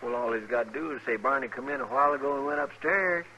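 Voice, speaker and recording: Earnest western sidekick male actor, rural American accent, medium pitch, plain-spoken and deferential, muffled vintage radio. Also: fast talker, pushed and bright, a little hiss or hum, natural voice. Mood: earnest, curious. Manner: folksy, plain-spoken, questioning. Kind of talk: 1950s western radio drama acting.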